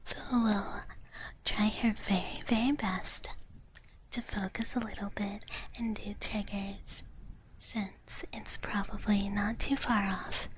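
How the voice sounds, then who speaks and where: A woman ASMR streamer chatting casually in a soft, breathy whisper, close to the microphone, in a playful, rambling, intimate way. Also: mid-range voice, fairly even intonation, slow, poor audio. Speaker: ASMR livestreamer. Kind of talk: ASMR, casual chat with viewers.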